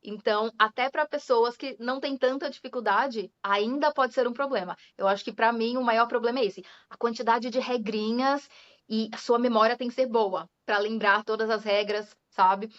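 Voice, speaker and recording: A woman's voice: fairly high pitch, steady tone, normal speed, a bit bright. A Brazilian woman, an English teacher, chatting casually in an interview with a Brazilian accent, laughing often and slipping English words into her speech. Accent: Brazilian Portuguese. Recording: dull lo-fi sound.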